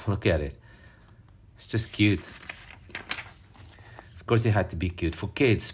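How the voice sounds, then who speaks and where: Man, from Morocco, very low voice, nostalgic, rambling, casual vlog chat. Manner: enthusiastic and nostalgic, rambling with fillers and restarts. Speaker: vlogger. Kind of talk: casual show-and-tell vlog.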